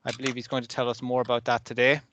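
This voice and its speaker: A man's voice: mid-range voice, little pitch movement, rapid-fire, a bit bright, slight background noise. A man hosting a seminar, politely introducing the guest speaker over a video call. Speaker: seminar host.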